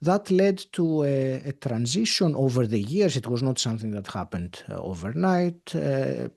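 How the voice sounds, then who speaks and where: A man in his fifties from Greece with a Greek accent, speaking English as a second language, answering a podcast interviewer in a thoughtful way with many pauses and fillers. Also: mid-range voice, very animated, creaky, a little mellow. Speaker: podcast guest. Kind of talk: interview conversation.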